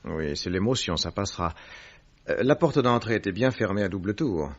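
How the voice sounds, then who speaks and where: A man playing a methodical, paternal detective in a French radio drama, questioning in a measured, soothing manner. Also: on the low side, expressive. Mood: calm, reassuring. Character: Victorian detective, methodical, probing, paternal gentleman. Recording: dull, noisy old radio recording.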